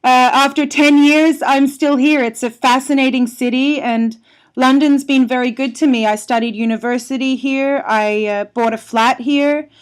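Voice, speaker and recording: A friendly woman from Australia speaks as a guest over the phone, her voice sitting a little high. She chats freely and warmly about her life and music, laughing easily between thoughts. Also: steady tone, normal speed, clean tone. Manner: friendly, chatty, laughs often. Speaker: singer-songwriter guest. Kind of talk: radio interview by phone. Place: home in London. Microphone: telephone.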